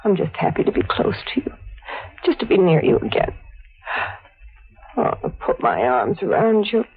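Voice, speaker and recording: A sly, hard-edged showgirl from a 1940s radio thriller. Her voice is husky and seductive, swinging widely in pitch with dramatic delivery. It comes through a muffled, thin vintage recording. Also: huge pitch swings, moderate pace. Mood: adoring.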